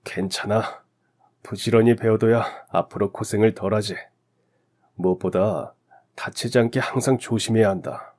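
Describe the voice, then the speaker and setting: A man's voice: fairly low pitch, steady tone, normal speed, darkish tone, lightly acted. A man playing a kindly, caring senior factory technician, a father, in a radio story drama. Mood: reassuring.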